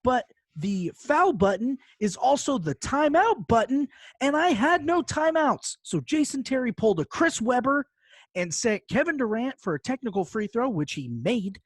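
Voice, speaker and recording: A man co-hosting a podcast, telling gaming stories in an animated, casual, sweary way. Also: extremely high pitch, normal speed, no room sound. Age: adult, parent of a young child. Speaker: podcast co-host. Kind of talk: casual chat, long gaming anecdote.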